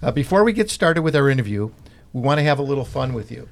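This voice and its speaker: A man's voice: medium pitch, sing-song, fast talker, gravelly, a crowd in the background. A man co-hosting a radio show, upbeat and chatty, with casual fillers. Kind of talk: scripted promo and casual hosting. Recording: music bed underneath.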